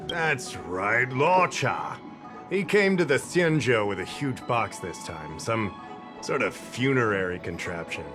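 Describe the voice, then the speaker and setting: An actor playing a casual, chatty middle-aged security officer who rambles a little in a game cutscene. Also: male voice, medium pitch, sing-song, takes it slowly. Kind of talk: game voice acting. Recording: game cutscene with music behind.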